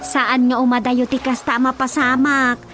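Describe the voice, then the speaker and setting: A woman's voice: high-pitched, little pitch movement, normal speed, fairly bright tone, faint music behind. A woman playing an anxious, questioning daughter in an Ilocano-accented radio drama. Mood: alarmed. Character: anxious daughter, frank and easily alarmed.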